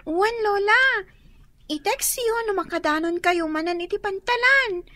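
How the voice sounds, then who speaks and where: A chirpy young daughter in a radio drama. The voice is extremely high and bright, recorded dry and close, with a dutiful, eager child's manner. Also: female voice, animated, unhurried, no echo, exaggerated voice. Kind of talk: Ilocano radio drama acting.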